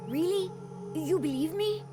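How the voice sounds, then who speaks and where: A woman's voice: very high voice, expressive, unhurried, over music. A woman playing a curious, earnest young child in a video game. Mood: hopeful.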